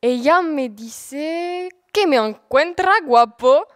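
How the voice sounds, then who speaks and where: A woman's voice: high voice, animated, takes it slowly, no room sound, studio quality. A woman acting the part of a vain, lovesick middle-aged Spanish knight, pompous and boastful, in a student radio comedy.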